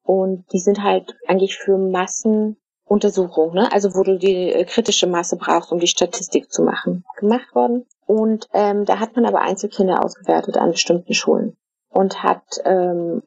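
A middle-aged woman from Germany, answering interview questions in a casual, thoughtful way, with many fillers and pauses. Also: mid-range voice, steady tone, gravelly, a little mellow, breathy edge, no echo. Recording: dull, dry lo-fi recording.

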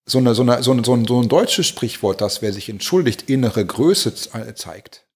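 A man in his forties from Germany, a pastor, thoughtfully telling personal stories, halting with many restarts and repeated words. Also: mid-range voice, expressive. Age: 40s. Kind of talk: reflective personal storytelling.

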